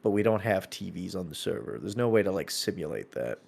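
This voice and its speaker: A man with a fairly low voice talks in a casual, unscripted way. He thinks out loud, stopping and starting and often repeating words before finishing a thought. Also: big pitch swings, a little fast, gravelly, slightly rough.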